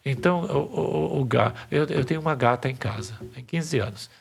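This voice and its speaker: A man's voice: on the high side, moderate pace, gravelly, breathy edge. A man, a psychiatry professor, patiently explaining ideas as a podcast guest, often restarting his phrases. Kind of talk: podcast interview.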